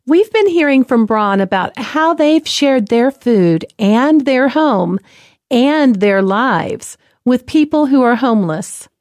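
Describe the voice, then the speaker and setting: A woman narrating a story in a gentle, clear, measured reading style. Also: a little high, lively intonation, dry recording. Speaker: presenter.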